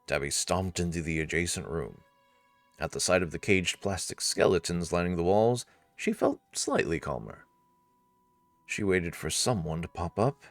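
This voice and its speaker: A man with a very low voice reads out story narration over faint music. He breaks off for casual, wry comments of his own. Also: expressive, moderate pace, no echo.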